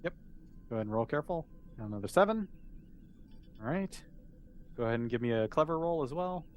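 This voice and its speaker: A man's voice: a little high, animated, a little fast, highs cut off. Man game-mastering casually, noisy recording. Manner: conversational, improvising as they go. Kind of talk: casual tabletop game narration.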